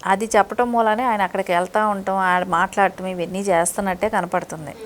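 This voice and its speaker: A woman's voice: mid-range voice, steady tone, fast, slight background noise. A woman giving critical, opinionated political commentary as an interview guest, in long conversational explanations.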